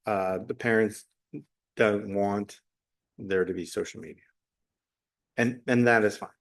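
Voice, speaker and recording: A middle-aged man talking unscripted as a solo podcast host, reflective and halting, often pausing mid-phrase. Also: lowish voice. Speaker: podcast host, special education teacher.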